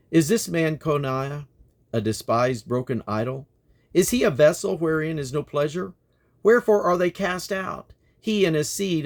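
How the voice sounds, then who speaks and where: A man reading scripture aloud in a solemn, declamatory manner, with measured phrasing and plainly spoken references. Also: fairly high pitch, gravelly. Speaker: Bible reader and host.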